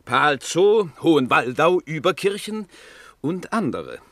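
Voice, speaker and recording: An actor in a German radio play as a smooth-tongued, ceremonious party spokesman and factory owner, speaking in formal oratory. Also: on the high side, very animated, takes it slowly, no echo, full character voice.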